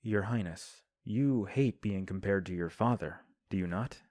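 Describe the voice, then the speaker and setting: A man voicing a composed young prince in an audio drama, speaking in a low, mellow tone with calm, measured formality. The sound is dry and close, with no room around it. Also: no room sound, lightly acted. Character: composed young male prince giving counsel. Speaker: voice actor. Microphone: home recording.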